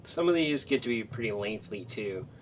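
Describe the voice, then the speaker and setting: A man's voice: on the low side, expressive, normal speed, slight background noise. A man giving casual, rambling commentary on his own video game playthrough, thinking aloud as he goes. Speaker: gamer narrating own playthrough.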